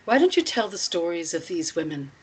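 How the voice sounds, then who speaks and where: A woman acting a composed, persuasive protector of runaway women in a script read, speaking in a deliberate, measured way. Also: lowish voice, big pitch swings, slight background noise. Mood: earnest, persuasive. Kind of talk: script table read, acted dialogue.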